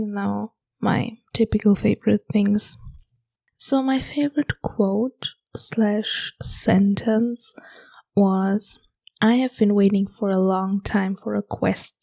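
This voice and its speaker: A young woman, hosting a podcast and chatting casually about a book in a hushed half-whisper, late at night at home. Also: mid-range voice, creaky. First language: German. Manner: hushed, half-whispered talk to avoid waking roommates. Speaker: podcast host.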